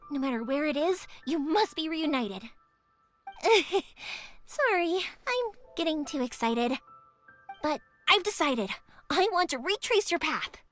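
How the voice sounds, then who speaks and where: A woman voicing a cheerful, chatty young woman in a video game, with a girlish, expressive, earnest delivery. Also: extremely high pitch, heavily acted. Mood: curious, earnest, then excited, determined. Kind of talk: video game character voice lines. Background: background music.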